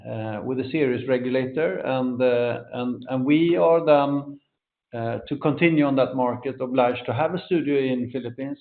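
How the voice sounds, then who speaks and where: A man speaking as a company executive, answering questions off the cuff on an investor call, often halting and repeating words. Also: mid-range voice, gravelly. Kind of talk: unscripted answers on an earnings conference call. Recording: roomy echo, dull band-limited conference call audio.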